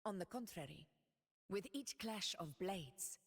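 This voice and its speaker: A solemn regal warrior ruler. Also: female voice, medium pitch, lively intonation, a little slow, slightly rough, long reverb tail. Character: proud noble woman ruler, steadfast warrior. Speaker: game character voice lines. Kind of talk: game voice lines.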